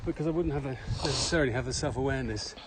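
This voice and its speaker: A man's voice: medium pitch, rapid-fire. A man co-hosting a casual podcast, musing in a plain-spoken, reflective way while out walking. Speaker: podcast co-host. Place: outdoors, walking through a pine grove in Morocco. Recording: noisy outdoor walking recording.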